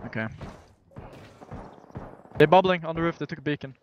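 A man's voice: on the high side, moderate pace, muffled recording. A man calling strategy to his esports team over voice chat in urgent, repeated callouts with casual swearing. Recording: game gunfire and vehicle noise behind. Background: shooter game gunfire and effects.

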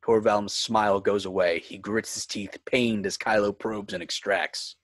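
A man's voice: on the low side, fairly even intonation, normal speed, a bit bright, no echo. A man working as narrator and host of a fan script reading. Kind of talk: reading screenplay directions, host outro. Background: music.

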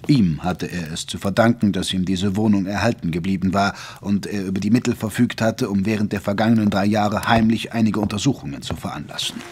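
A man's voice: on the low side, brisk, hardly any pauses, a little mellow, slight background noise. A man narrating a German audio drama as a scripted storyteller.

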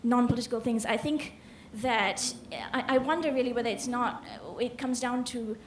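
A woman's voice: fairly high pitch, fairly even intonation, moderate pace. A young woman from Malaysia speaking hesitantly into a handheld mic from the audience of a literary panel in a large hall. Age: young adult. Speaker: audience member asking a question. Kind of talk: spontaneous remarks at a literary panel. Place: large indoor conference hall. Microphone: handheld microphone. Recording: roomy, echoing auditorium with some noise.

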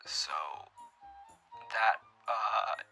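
A man playing an awkward, reluctant fast-food worker in a hesitant comic monologue. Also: on the low side, fairly even intonation, vocal fry, bright, forceful tone, soft background music, exaggerated voice, low-quality recording.

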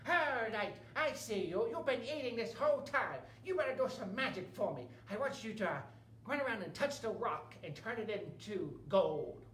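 A man playing a demanding, exasperated farmer in a children's folktale, loud and scolding. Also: high voice, animated, normal speed, voice pushed up. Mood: angry. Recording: roomy, distant camera mic, faint hum.

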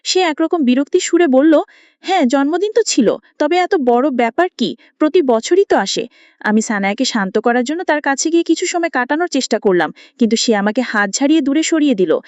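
A woman's voice: high-pitched, a little mellow, no echo, lo-fi sound. A woman reading a scripted romantic story aloud in the first person, as an audio story narrator.

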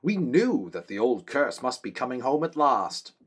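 A man reading aloud gives a young man-at-arms from a fantasy novel. The voice is pitched well above his own and pushed loud, with a husky grain. The soldier sounds eager and breathless with joy. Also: sing-song, moderate pace.

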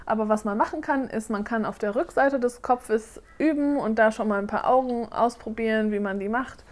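Woman, thirties, from Germany, slightly high voice, casual vlog chat, hesitant fillers, living room. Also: normal speed. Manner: chatty, hesitant with frequent fillers. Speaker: doll-making vlogger. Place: living room, seated on a sofa.

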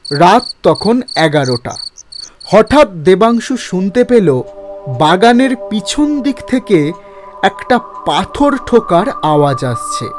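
A man narrating a horror story in a slow, measured, dramatic way. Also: sits high. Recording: narration over background music.

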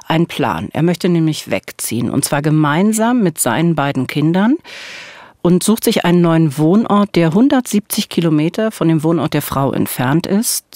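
Low-pitched for a man? no: woman podcast host. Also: a little mellow, dry recording, studio quality. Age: middle-aged. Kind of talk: true-crime storytelling, conversational narration. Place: dark radio studio. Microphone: studio microphone with headphones.

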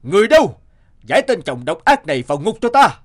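A man's voice: sits high, big pitch swings, quick pace. A man playing a stern, commanding judge in a cartoon fairy tale, speaking in an authoritative, declamatory way. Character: stern commanding male judge passing sentence.

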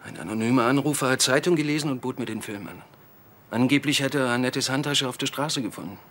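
An actor playing an evasive film producer suspected in a crime drama, guarded and defensive. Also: male voice, medium pitch, quick pace, fairly bright tone, slight background noise, close to an everyday voice.

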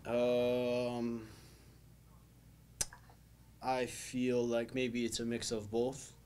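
A young man in his twenties, a soccer player answering press questions after a match in a measured, thoughtful way with frequent ums. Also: medium pitch, monotone, a little mellow, slight background noise. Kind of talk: post-match press interview. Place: small indoor press room, backdrop wall.

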